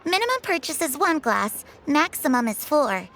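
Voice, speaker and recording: High, bright young shopkeeper. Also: female voice, big pitch swings, moderate pace, exaggerated voice. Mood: brisk, polite. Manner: salesy, polite. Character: chirpy young woman wineware shopkeeper, businesslike. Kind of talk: video game voice acting.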